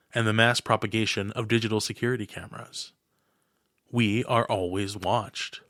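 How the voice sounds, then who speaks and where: A man reads a scripted essay in a formal, oratorical style. He phrases deliberately and pauses between clauses. The recording is dry and close, with no room sound. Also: on the low side, fairly bright tone, no room sound. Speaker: podcast host.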